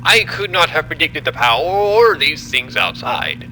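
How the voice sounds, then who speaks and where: An arrogant male scientist in an audio drama. His voice sits fairly high and swings widely, deliberate and commanding, with clear background noise around it. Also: sing-song, sharp tone, full character voice, poor audio. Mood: defensive. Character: arrogant, controlling male scientist running a secret experiment. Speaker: voice actor.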